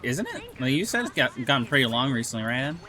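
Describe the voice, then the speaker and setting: A man's voice: medium pitch, lively intonation, fast, bright, forceful tone. A man streaming a video game with friends, chatting casually and rambling with lots of restarts and jokes. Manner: rambling, joking, with many restarts. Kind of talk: casual gaming chat.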